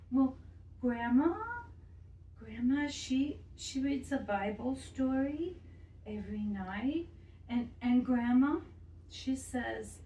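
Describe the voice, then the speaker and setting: A woman voicing a lonely little girl who misses her mother, speaking haltingly and softly. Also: a little high, reverberant, recorded from a distance, a little hiss or hum, pitched above the performer's own voice, poor audio. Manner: hesitant, halting, soft.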